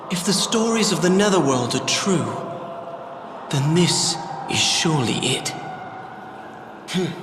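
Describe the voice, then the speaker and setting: A noble young prince in a fantasy game, high-voiced and unhurried. His delivery is earnest, dramatic and archaic, with wide swings in pitch, echoing over music. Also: noisy background. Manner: formal archaic diction, dramatic. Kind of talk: theatrical fantasy game acting. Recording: roomy reverberant voice over music.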